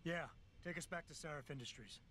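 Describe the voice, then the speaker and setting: An actor playing a terse, guarded augmented security chief in a video game, with deadpan, clipped delivery. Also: male voice, on the high side, brisk, a little hiss or hum. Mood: dry, guarded. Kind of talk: video game cutscene acting.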